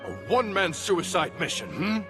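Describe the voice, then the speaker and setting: An actor playing a stern, exasperated older master scholar in a video game, scolding in articulate, sardonic tones. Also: male voice, high voice, sing-song, normal speed, fairly bright tone, soft background music. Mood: scolding, incredulous.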